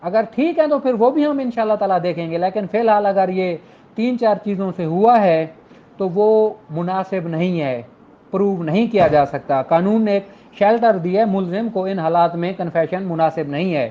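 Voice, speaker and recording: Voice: medium pitch, normal speed. A law lecturer with a Pakistani accent explaining informally with examples, mixing in English legal terms. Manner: Urdu speech mixed with English legal terms. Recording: dull, lo-fi audio.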